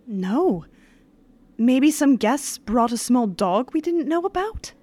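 A woman acting a helpful, quick-witted lodge worker in a 1930s-style radio mystery. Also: high voice, very animated, dark tone, no echo, exaggerated voice. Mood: evasive, polite.

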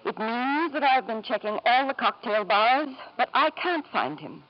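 A woman's voice: a little high, lively intonation, a little slow, lo-fi sound. An actress playing a bitter, weary wife and anxious mother in a 1950s radio drama, formal and emotional, on an old broadcast recording. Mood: cold, defiant.

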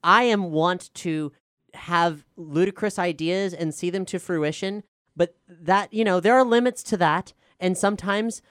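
A woman's voice: on the low side, measured pace, no room sound, clean studio sound. A woman hosting a podcast in casual panel chat, speaking informally with playful asides. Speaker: podcast showrunner and host.

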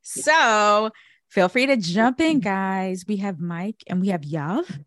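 Lively-voiced woman co-host. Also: mid-range voice, slow pace, no echo, clean studio sound. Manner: bubbly, laughs often. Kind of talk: podcast hosting.

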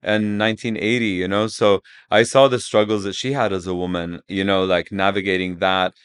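A man in his thirties who grew up in Saudi Arabia, talking casually as an interview guest, quick with many restarts and fillers. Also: sits low, little pitch movement, clear, resonant tone. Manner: fast-talking, many restarts and "you know". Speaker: guest, agency co-founder.

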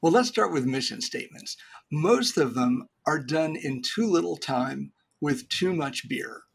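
Man, middle-aged, gravelly, a bit husky, animated explainer, podcast guest. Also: a little high, unhurried. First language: English. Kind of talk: conversational business advice.

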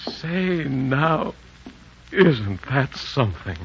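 A man's voice: medium pitch, sing-song, drawn-out words, exaggerated voice. An actor playing a genial, doting older father with a hearty manner in a 1940s radio drama. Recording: muffled old radio recording, loud noise.

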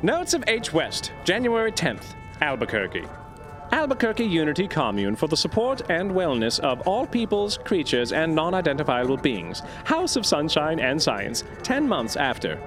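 An actor playing an earnest, obsessive scientist dictating research notes in formal, grandiose language for an audio drama. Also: male voice, on the high side, wildly swooping pitch, moderate pace, over music. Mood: brisk, detached. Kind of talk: audio drama monologue as journal narration.

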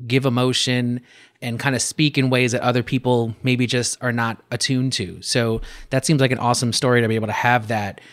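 A man hosting a design podcast, reading a scripted introduction and then asking a guest questions in a lively conversational way. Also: medium pitch, brisk. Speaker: podcast host. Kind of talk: podcast interview.

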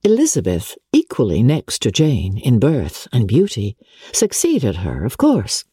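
A woman narrates classic literature in a dark, mellow, husky voice. Her delivery is theatrical and measured, with very animated intonation. The sound is close and dry. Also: very deep voice, no echo. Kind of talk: expressive scripted audiobook narration of a classic novel.

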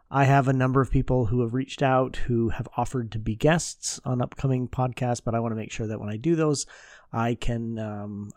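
A man's voice: medium pitch, darkish tone, no echo, studio quality. A man hosting a podcast, talking casually and informally as he introduces and interviews.

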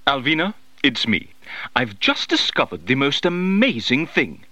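Excitable man, theatrical delivery, very animated, bright, audio drama advert. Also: medium pitch, unhurried, no room sound, full character voice. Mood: gleeful, excited. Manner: theatrical, enthusiastic. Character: excitable middle-class man leaving a playful message for a friend. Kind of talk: in-character scripted advert.

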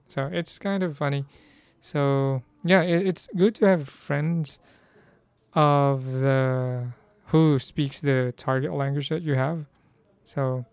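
Hoarse young Filipino man chatting. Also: mid-range voice, dry recording. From Philippines. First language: Filipino language. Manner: chatty, self-correcting, reads phrases aloud. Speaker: language learner. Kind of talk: casual vlog about learning Korean.

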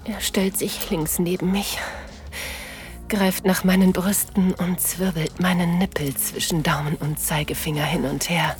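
Actress doing a breathy aroused woman, submissive, intimate audio drama narration, slow and whispery w/ soft music. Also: on the low side, fairly even intonation, natural voice. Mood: breathless, sensual. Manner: slow, sensual delivery. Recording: music behind the voice.

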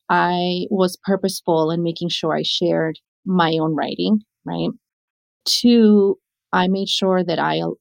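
A woman's voice: on the low side, vocal fry, no room sound. A woman from Chile who moved to the US as a child, speaking conversationally as an educator and telling anecdotes with frequent check-ins. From born in Chile, came to the United States when little. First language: Spanish. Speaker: educator and author. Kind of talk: conversational interview answer with anecdotes.

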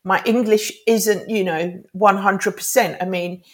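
A woman's voice: medium pitch, fairly even intonation, unhurried. A middle-aged woman whose first language is Greek, an author and life coach talking earnestly in a podcast interview, rambling with many restarts.

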